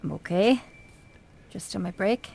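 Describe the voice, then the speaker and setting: A woman's voice: medium pitch, unhurried, gravelly, a little mellow, a little hiss or hum. An actress playing a frank, concerned small-town Irish woman, blunt and earnest, in naturalistic radio drama. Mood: gently probing.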